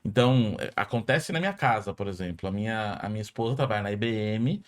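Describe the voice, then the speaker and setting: A man with a Brazilian Portuguese accent, hosting a podcast conversation. He talks in a lively, informal way and often restarts his sentences partway through. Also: lowish voice, quick pace. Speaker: podcast host. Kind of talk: casual podcast interview.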